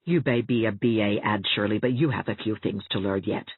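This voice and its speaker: Sensible young woman voiced by the narrator, dry and brisk; tinny close sound. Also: medium pitch, husky, no room sound, voice pushed down, natural voice. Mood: self-scolding.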